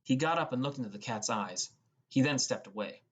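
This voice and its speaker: A man's voice: medium pitch, animated, brisk, husky. Young man narrating a story. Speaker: audiobook narrator. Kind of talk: scripted first-person narration.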